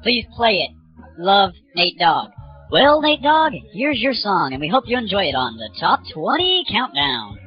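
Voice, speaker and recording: A smarmy male TV countdown host, put on by a man, pitched high and very animated. His patter is slick and over-peppy, in a muffled recording with music behind. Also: measured pace. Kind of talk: parody TV presenter patter.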